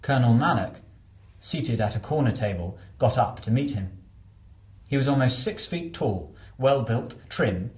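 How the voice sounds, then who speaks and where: A man's voice: medium pitch, a little mellow, roomy sound. A man reading a novel aloud as a scripted narration. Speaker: audiobook narrator.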